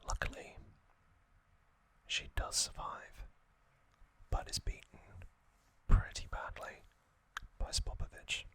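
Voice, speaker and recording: A man whispering softly into a close microphone for an ASMR recap, his airy, crisp-edged whisper never rising to full voice. He takes his time, drifting slowly through each phrase with gentle pauses and little hesitations. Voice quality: soft unvoiced whisper throughout. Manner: gentle, unhurried, with frequent soft hesitations. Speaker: ASMR channel host.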